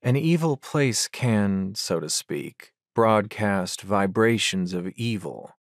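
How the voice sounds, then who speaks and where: Man playing a musing low-voiced hunting companion. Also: animated, slow, dry recording, studio quality. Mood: brooding, uneasy. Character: thoughtful, uneasy male hunting companion aboard a yacht. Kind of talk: audio drama acting.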